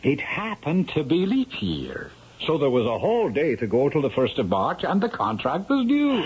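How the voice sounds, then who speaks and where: An actor playing a wily, garrulous old Irish storyteller in a theatrical 1970s radio drama style. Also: male voice, a little high, huge pitch swings, moderate pace, darkish tone, slightly rough, full character voice. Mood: sly storytelling. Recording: dull vintage radio recording.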